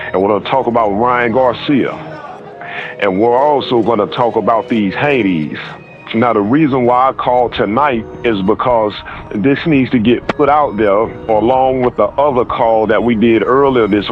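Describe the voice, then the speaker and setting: A man's voice: medium pitch, highs cut off. Man, boxing commentary, emphatic, repeats points, faint music behind. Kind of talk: opinionated call-in commentary.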